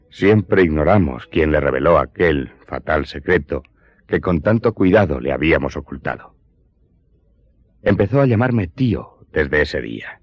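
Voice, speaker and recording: A man's voice: sits low, lo-fi sound. An actor playing a Corsican steward and former smuggler who solemnly confesses his past in an audio drama. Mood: somber, troubled. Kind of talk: dramatic first-person storytelling in an audio drama.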